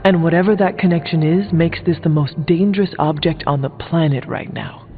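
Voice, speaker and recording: An actor playing a solemn, blunt warrior hero in a video game, speaking formally and deliberately. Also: male voice, a little high, lightly acted. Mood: solemn, firm. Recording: muffled narrow-band sound with long reverb. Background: music.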